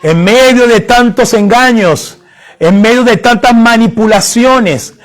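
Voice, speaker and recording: High-voiced fervent male preacher. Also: unhurried. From Haiti. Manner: fervent, rising to emphatic prayer; repeats phrases for emphasis. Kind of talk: sermon.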